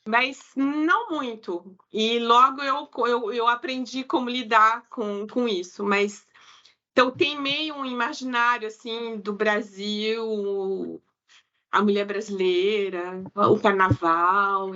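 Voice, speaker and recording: Bright-voiced Brazilian woman, hesitant. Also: a little high, steady tone, slow. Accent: Brazilian Portuguese. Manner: hesitant, frequent restarts and self-corrections. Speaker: guest. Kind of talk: casual interview chat. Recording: dull lo-fi remote audio.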